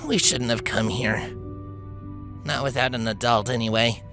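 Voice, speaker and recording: A woman's voice: extremely low pitch, fairly even intonation, moderate pace, music in the background, pitched above the performer's own voice. A woman voicing a remorseful young pony ringleader with a sheepish, frank manner in a fantasy story. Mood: guilty.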